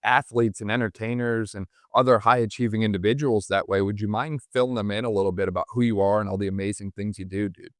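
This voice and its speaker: A man with a low, smooth voice hosts a podcast. He talks in an informal, enthusiastic and wordy way, and the sound is dry and close. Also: steady tone, fast talker, hardly any pauses, no room sound. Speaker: podcast host. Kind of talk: casual conversational interview.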